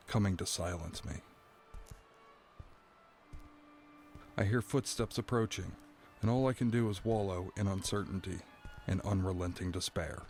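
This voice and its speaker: A man's voice: low-pitched, gravelly, a little mellow. An actor playing a dread-filled prisoner awaiting his doom, delivering a brooding first-person monologue in an audio drama. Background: background music.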